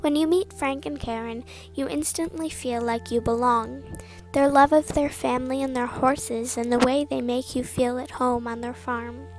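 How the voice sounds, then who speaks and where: A woman's voice: sits high, fairly even intonation, moderate pace. A woman narrating a written tribute in a sincere, plain reading style. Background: faint background music.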